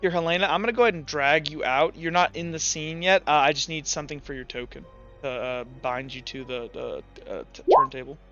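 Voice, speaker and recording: Male game master, notably high voice, improvised narration and rules chat, slightly muffled with faint music behind. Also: fairly quick, bright, forceful tone. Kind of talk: improvised tabletop game narration and table talk.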